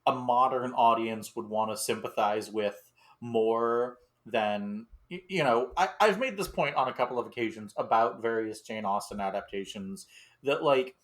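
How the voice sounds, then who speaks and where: A man on a podcast panel, casually discussing film in a wry, halting way. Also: mid-range voice, a bit bright.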